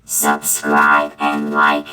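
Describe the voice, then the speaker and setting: A woman's voice: very deep voice, monotone, very slow, over loud music, pitched below the performer's own voice, full character voice, rough recording. A woman's voice processed with a robot filter to sound like a mechanical robot. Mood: flat, mechanical.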